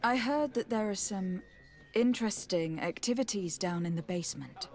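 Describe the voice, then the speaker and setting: A woman's voice: mid-range voice, expressive, normal speed, darkish tone, lightly acted. A woman playing a poised, polite young noblewoman making enquiries in a video game. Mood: polite probing. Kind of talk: video game dialogue acting.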